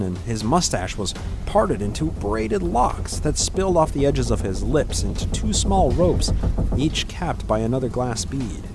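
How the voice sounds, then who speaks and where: A man reading a scripted fantasy adventure as a dramatic narrator. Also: medium pitch. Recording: clear background ambient noise.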